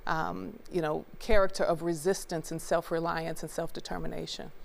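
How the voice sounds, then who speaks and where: A woman's voice: sits low, flat delivery, normal speed, gravelly, a little hiss or hum. A woman from Memphis speaking as a panel guest, reflective with many fillers. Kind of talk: TV panel discussion.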